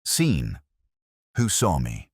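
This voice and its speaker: A man's voice: a bass voice, wildly swooping pitch, extremely slow, a little mellow, breathy edge, no echo, clean studio sound, exaggerated voice. A man voicing a weary, nervous, guilty caretaker in an audio drama, hesitant and confessional. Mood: nervous, defensive. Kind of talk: audio drama character reading.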